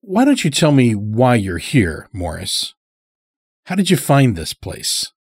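A male narrator plays a guarded lodge master in a story, measured and wary. His replies are terse, delivered in a husky voice at a deliberate pace. The recording is dry and close. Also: mid-range voice, wildly swooping pitch, no room sound, voice pushed up, full character voice. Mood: probing.

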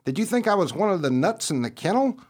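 A man's voice: sits high, very animated, fast talker. A man voicing a cheeky, friendly terrier in a radio play, brash and chummy.

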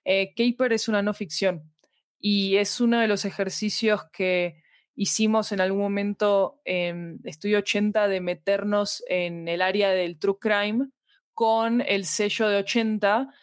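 A woman's voice: mid-range voice, fairly even intonation, a little slow, no room sound. Woman explaining in a dry, close recording. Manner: explanatory, adds tag questions like "¿verdad?" and "¿sí?". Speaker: podcast fiction director being interviewed. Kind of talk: conversational interview answers.

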